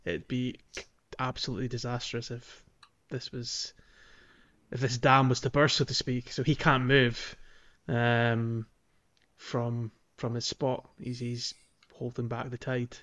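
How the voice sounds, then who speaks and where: A man's voice: mid-range voice, level tone, measured pace, fairly bright tone. A man co-hosting a podcast, chatting casually about a video game. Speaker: podcast co-host.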